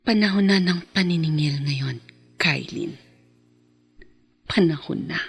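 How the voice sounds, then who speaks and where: A woman's voice: sits low, takes it slowly, creaky, husky, faint music behind, exaggerated voice. Wealthy, vengeful woman in radio drama. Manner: mixes English phrases into Tagalog. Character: wealthy, vengeful, self-assured young woman back home. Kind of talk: Philippine radio drama acting.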